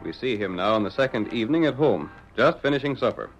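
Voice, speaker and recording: A man's voice: medium pitch, animated, fast. A man announcing an old radio drama in a dramatic, declamatory way. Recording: muffled old radio with music.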